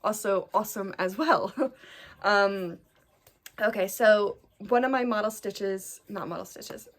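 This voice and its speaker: A woman's voice: mid-range voice, measured pace. A young woman with a stuffed-up nose from allergies, chatting casually to camera as she shows her cross-stitch designs, with lots of ums and small laughs. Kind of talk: casual show-and-tell chat to camera.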